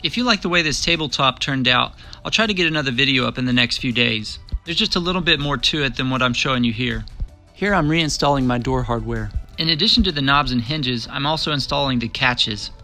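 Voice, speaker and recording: A man narrating a woodworking build over faint background music. His delivery is plain and measured, walking through each cut and measurement as a practiced how-to voice-over. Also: mid-range voice, bright, forceful tone. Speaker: DIY woodworking instructor. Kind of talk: scripted step-by-step voice-over narration.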